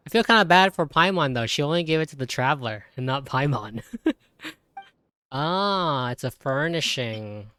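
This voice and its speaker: A man's voice: on the high side, lively intonation, normal speed, sharp tone, slight background noise. A young man giving casual, slangy live reaction commentary while streaming a video game.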